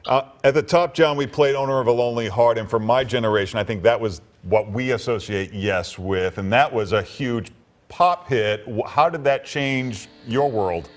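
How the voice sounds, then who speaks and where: A man's voice: medium pitch, normal speed. A man co-hosting a live radio interview and asking questions in a casual, conversational way. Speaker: radio co-host.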